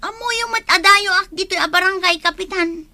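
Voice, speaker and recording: A woman's voice: extremely high pitch, brisk, sharp tone, no room sound, full character voice. A woman with an Ilocano accent playing a scheming, complaining village neighbour in a comedy drama.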